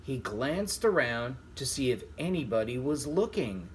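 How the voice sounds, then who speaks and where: A man reading a children's book aloud in a deliberate, teacherly way. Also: medium pitch, sing-song, slight background noise. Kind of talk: read-aloud storytelling with vocabulary and questions.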